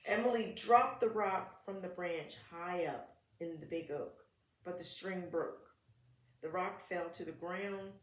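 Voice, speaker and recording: A woman reading a picture book aloud to preschool children in short, simple phrases, talking to them directly. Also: lowish voice. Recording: echoey room.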